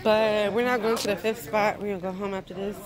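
A woman's voice: mid-range voice, steady tone, fast, a crowd in the background, rough recording. A young woman vlogging casually from a motorcycle ride, easygoing and slangy. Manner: easygoing, laughs often, slangy. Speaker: motovlogger host. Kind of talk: casual ride vlog chat. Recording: loud road and wind noise.

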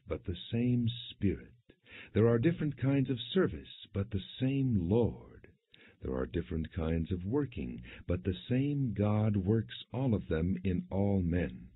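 A man reading scripture as an audiobook narrator, in a measured, solemn, husky voice. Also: deep voice, no echo. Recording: dry, narrow phone-like sound.

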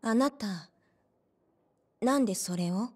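A woman playing a gentle, earnest young hostess in a Japanese game cutscene, speaking politely and softly. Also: mid-range voice, animated, takes it slowly, slightly rough. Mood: anxious, earnest.

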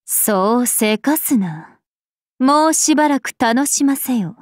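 Aloof regal anime game spirit lady, ancient n imperious, talks slow, dry studio-like sound. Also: high-pitched, expressive, no echo. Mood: solemn, regal. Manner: stately, imperious, archaic phrasing. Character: regal, aloof ancient female planet spirit, calm and haughty. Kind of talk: anime game character voice lines.

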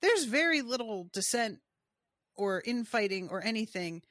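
A woman's voice: on the high side, sing-song, moderate pace, a bit bright, no echo, studio quality. A woman co-hosting a podcast, talking casually and trailing off into asides. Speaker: podcast co-host. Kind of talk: casual recap chat about a TV episode.

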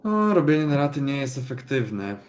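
A man's voice: medium pitch, sing-song, moderate pace. A man playing a tabletop role-playing game, talking through plans in character.

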